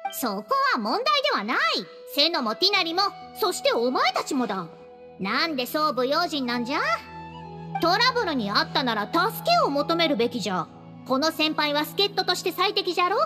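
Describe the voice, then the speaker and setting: A woman's voice: sits high, very animated, fairly quick, music in the background. A woman playing a haughty young female scholar who lectures in old-fashioned elderly phrasing in an anime game. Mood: bossy, scolding.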